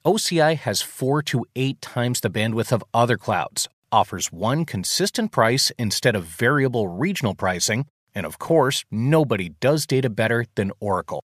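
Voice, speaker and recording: A man's voice: mid-range voice, very animated, moderate pace, no room sound, clean studio sound. A man reading a scripted radio commercial as an announcer.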